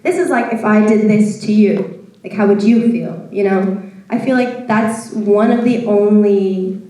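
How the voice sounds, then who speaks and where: A woman's voice: medium pitch, dark tone. A young woman in a casual discussion who talks chattily and fills her speech with "like". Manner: chatty, heavy use of "like". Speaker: audience participant. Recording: echoey hall from far off.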